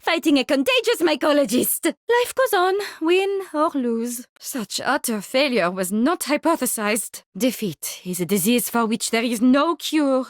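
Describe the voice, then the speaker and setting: A woman's voice: sits high, lively intonation, unhurried, few pauses, no echo, studio quality. A woman playing an eccentric, haughty mycologist with a French accent, theatrical and gleefully morbid, in video game voice lines. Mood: haughty, resolute. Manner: theatrical, savoring, condescending.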